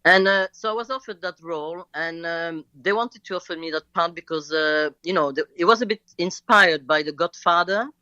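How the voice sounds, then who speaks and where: A man with a French accent tells a story over a video call that sounds a little dull and lo-fi. His tone is bright, and he pauses and hesitates often as he talks. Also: a little high, dry recording. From Belgium. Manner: hesitant, halting with many fillers. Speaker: guest actor. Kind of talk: casual interview storytelling. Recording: lo-fi call line.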